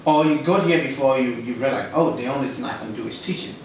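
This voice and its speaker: A man's voice: medium pitch, fast talker, gravelly, darkish tone. A man from Ghana hosting a casual interview, asking questions with short, teasing remarks. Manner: asks questions, gives short backchannels, teasing. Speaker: interviewer, host. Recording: echoey room, distant mic, faint noise.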